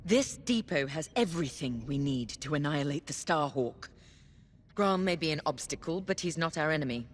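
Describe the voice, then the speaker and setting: Cold imperious empire commander lady, low voice, game cutscene, bit of background hum. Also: animated, normal speed. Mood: contemptuous. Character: stern, disdainful Imperial fleet commander woman. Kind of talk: cinematic video game acting.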